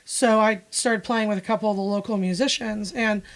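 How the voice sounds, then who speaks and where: A woman's voice: mid-range voice, steady tone, brisk. A woman from Pennsylvania, a musician and music teacher, speaking frankly and wryly in a casual interview. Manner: frank, matter-of-fact, wry.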